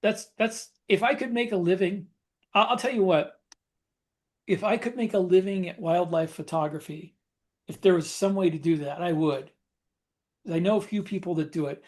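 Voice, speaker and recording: A man's voice: sits high, moderate pace. A man co-hosting a podcast in casual chat, thinking aloud in a reflective, conversational way. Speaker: podcast co-host and writer.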